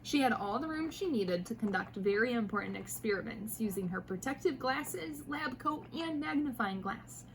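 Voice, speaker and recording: High-voiced woman reading a children's storybook. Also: normal speed, few pauses, noisy background. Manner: expressive, playful, addressing young listeners. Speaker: mother reading picture books aloud to children. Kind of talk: animated storybook read-aloud.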